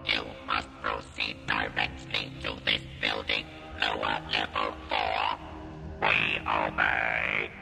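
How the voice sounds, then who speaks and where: Croaky robot alien overlord, super low and flat, barking cold orders, sci-fi audio drama. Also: male voice, over music, full character voice. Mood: cold, commanding. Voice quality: croaking, grating mechanical monotone. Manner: slow, flat, commanding. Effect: electronic robot voice modulation. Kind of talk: science-fiction audio drama acting.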